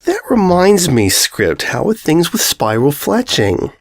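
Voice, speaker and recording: A man's voice: on the high side, wildly swooping pitch, unhurried, no echo, clean studio sound, pitched above the performer's own voice, heavily acted. A middle-aged cartoon pony mother, acted by a man, doting and teasing. The voice lifts and swoops above an ordinary male register, coaxing and effusive with every endearment.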